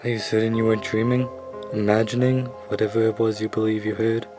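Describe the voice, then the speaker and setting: An actor playing a patronizing, sinister asylum doctor with measured delivery in an audio horror drama. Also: male voice, on the low side, level tone, warm tone, soft background music, natural voice. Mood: condescending. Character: smooth, condescending, sinister male asylum doctor.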